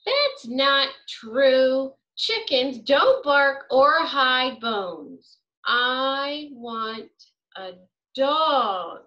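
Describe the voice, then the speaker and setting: A woman's voice: high voice, very animated, slow pace, dull audio, heavily acted. A woman acting out a stubborn, exasperated young child in a storybook read-aloud, with emphatic, insistent delivery. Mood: indignant, firm.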